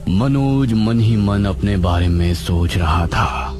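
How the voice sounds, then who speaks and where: A male storyteller reads a dramatic scripted tale. His delivery is slow with a lively, wide-ranging pitch. Music plays clearly underneath. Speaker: story narrator.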